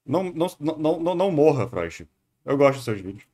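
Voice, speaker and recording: A man's voice: a little high, very animated, quick pace, warm tone. A young Brazilian man streaming casual live commentary as he reacts to a video, playful and digressive, often repeating words. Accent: Brazilian Portuguese.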